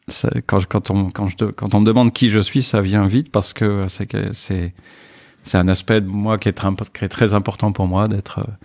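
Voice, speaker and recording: A man's voice: deep voice, a little mellow, no echo, studio quality. A man in his early fifties from Paris, speaking as a guest in a podcast interview, with many fillers and restarts. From Paris, France. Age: 50s.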